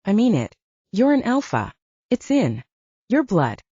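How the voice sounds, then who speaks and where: A woman's voice: mid-range voice, wildly swooping pitch, a little slow, mellow, breathy edge, dry recording, dull audio, voice pushed up, full character voice. A woman voicing a young blonde werewolf woman, protective and reassuring, with a soothing but firm manner, in an audiobook.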